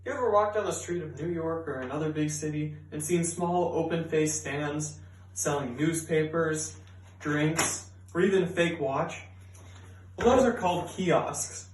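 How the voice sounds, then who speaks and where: A young man, raised speaking English and Persian, presents a scripted educational video in an earnest, explanatory way with occasional fillers. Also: a little high. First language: bilingual, raised speaking English and Persian. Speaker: student presenter. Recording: roomy echo, mic at a distance.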